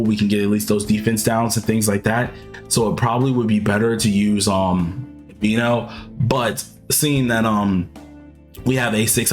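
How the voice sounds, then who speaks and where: A man with a sick, hoarse-sounding voice, casually explaining his gameplay moves step by step. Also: fairly low pitch. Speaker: video game commentator. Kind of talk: gameplay walkthrough commentary.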